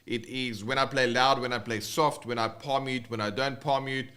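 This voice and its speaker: Casual South African male guitar teacher, bright tone, loose and rambling on a livestream. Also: medium pitch, fairly even intonation, normal speed. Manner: loose and rambling, many fillers and restarts.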